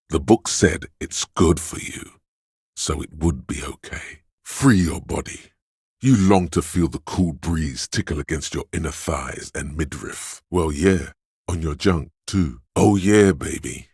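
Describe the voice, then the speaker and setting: Very deep, gravelly, seedy voice of craving. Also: male voice, dry recording, clean studio sound, heavily acted. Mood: scornful. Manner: sly, seductive, indulgent coaxing. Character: seedy, hedonistic inner voice of addiction and craving. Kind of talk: video game character voice lines.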